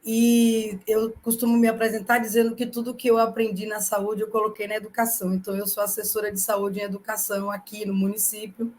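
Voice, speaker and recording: A Bahian woman from Brazil speaks as a panel guest. Her pitch is average for a woman and moves little. The delivery is informal, like a professional introducing herself to colleagues. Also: flat delivery, brisk, few pauses, a little mellow. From Salvador, Bahia, Brazil. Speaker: panel guest, occupational therapist.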